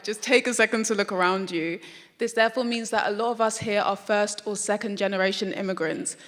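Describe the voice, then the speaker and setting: A young British woman student giving an earnest, reflective talk to a live audience. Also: medium pitch. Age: young adult. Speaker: student speaker. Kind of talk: prepared public talk. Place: lecture hall with audience. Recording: long hall reverb.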